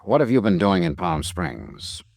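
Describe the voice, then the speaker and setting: An actor playing a tough, terse, sardonic investigator in a 1950s radio noir drama, in both dialogue and narration. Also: male voice, low-pitched, wildly swooping pitch, measured pace, gravelly, a little mellow, no room sound, clean studio sound, exaggerated voice. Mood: confrontational. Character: tough, blunt hardboiled insurance investigator.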